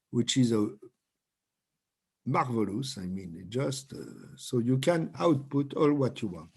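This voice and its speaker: A man in his fifties, a native French speaker with a French accent, teaching statistics software over a video call in a slow, halting way that often repeats words. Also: medium pitch, slightly rough. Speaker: trainer. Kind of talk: online software training. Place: home room with bookshelves.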